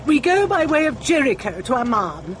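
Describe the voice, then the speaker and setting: Posh bossy english lady, self-important, kinda high voice that swoops about, radio drama. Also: very animated, slight background noise. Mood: bossy, authoritative. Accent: upper-class English. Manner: pompous, brisk, commanding.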